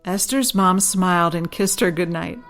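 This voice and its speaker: A woman's voice: lowish voice, normal speed, a bit bright, faint music behind. A woman reads her own children's story as scripted audiobook narration.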